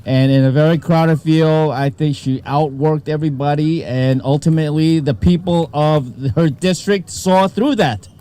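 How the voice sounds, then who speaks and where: A man's voice: on the high side, steady tone, moderate pace, hardly any pauses, mellow, clean tone. A man speaking with enthusiasm and emphasis, introducing and praising a local candidate. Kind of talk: campaign speech.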